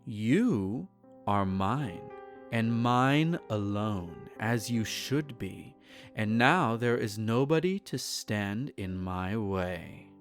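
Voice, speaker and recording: A man's voice: lowish voice, animated, drawn-out words, soft background music, heavily acted. A man playing a possessive, aristocratic male vampire in an intimate roleplay audio drama, speaking formally, soothing yet condescending and menacing.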